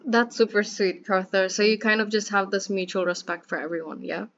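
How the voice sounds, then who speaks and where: A woman's voice: medium pitch, steady tone, a little fast, vocal fry, fairly bright tone, slight background noise. A young woman hosting a student interview, upbeat and encouraging with her guest. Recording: dull muffled sound.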